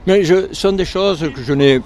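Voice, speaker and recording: Older guy w/ a lozère accent, earnest and halting, street with faint traffic noise behind. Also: sits high, a little mellow. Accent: Lozère, southern French. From Lozère, southern France. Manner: earnest, halting when emotional. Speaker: interviewee, retired air force serviceman. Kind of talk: street interview. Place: outdoors on a city street.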